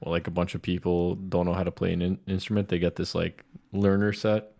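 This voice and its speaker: Dude with a really low voice, chatting casually on a podcast. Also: male voice, level tone, fairly quick, vocal fry, dark tone. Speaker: podcast co-host. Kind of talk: casual chat.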